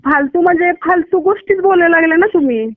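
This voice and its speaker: High-voiced Maharashtrian woman on tinny phone line. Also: fast talker, no echo. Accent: Maharashtrian Marathi. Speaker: doctor. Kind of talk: casual phone conversation. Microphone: phone call.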